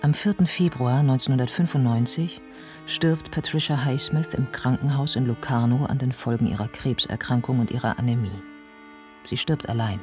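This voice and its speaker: Male narrator played by an actor, mid-pitched, measured and sober scripted radio play narration, faint music. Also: darkish tone, lightly acted.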